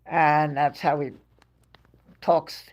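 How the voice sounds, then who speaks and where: A woman's voice: low voice, level tone, extremely slow, a little mellow, highs cut off. A woman with a New York accent hosting a livestream, telling stories in a chatty way and laughing often between phrases. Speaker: livestream host. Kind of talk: casual chat to a live chat audience.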